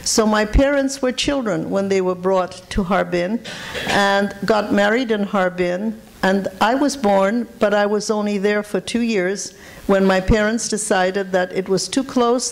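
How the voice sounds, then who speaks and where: A woman's voice: mid-range voice, normal speed, creaky, poor audio. An older woman from Shanghai giving an informal talk of reminiscences to an audience, telling anecdotes and repeating words for emphasis. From Shanghai, China. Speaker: guest speaker at a public food history talk.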